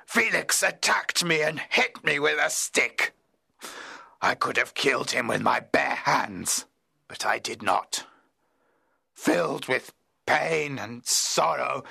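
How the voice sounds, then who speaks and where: A man voicing a lonely, anguished outcast monster who tells his own story in a dramatic audiobook, gravelly, husky and theatrical. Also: medium pitch, lively intonation, sharp tone, no room sound, clean studio sound, pitched above the performer's own voice, exaggerated voice. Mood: horrified, sorrowful. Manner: intense, deliberate, theatrical.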